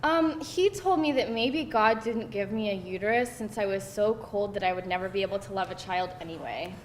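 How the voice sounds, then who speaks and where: A woman's voice: a little fast, natural voice. A woman playing a frank, sardonic twenty-eight-year-old career woman in a screenplay table read. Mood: dry, aggrieved. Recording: roomy echo, rumbly background noise.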